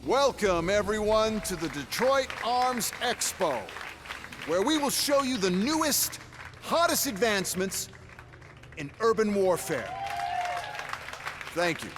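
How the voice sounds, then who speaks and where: An actor playing a smug, flamboyant corporate executive in a game cutscene, sarcastic, showy and condescending. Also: male voice, sits high, sing-song, slow, pauses often, crowd noise, background noise, full character voice. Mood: showy salesmanship. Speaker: executive and product presenter. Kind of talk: theatrical video game cutscene acting.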